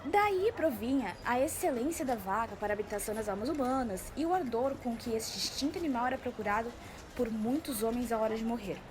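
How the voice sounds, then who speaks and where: Casting: young Brazilian woman as male companion in a radio play, high voice, declamatory storytelling, slightly noisy recording. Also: animated, brisk, natural voice. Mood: earnest, declamatory. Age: teenager or young adult. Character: male interpreter friend relaying boastful orators' grand claims. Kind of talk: student radio drama of literary classic. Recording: faint background noise.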